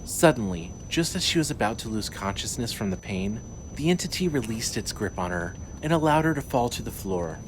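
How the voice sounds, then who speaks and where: A man's voice: mid-range voice, big pitch swings, a little fast, hardly any pauses. A man narrating a scripted horror story as its storyteller. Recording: clearly noisy ambient background.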